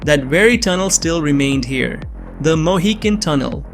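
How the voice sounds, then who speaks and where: A man's voice: a little high, lively intonation, moderate pace, faint music behind. Young man narrating a tense story. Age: young adult. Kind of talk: scripted first-person narration.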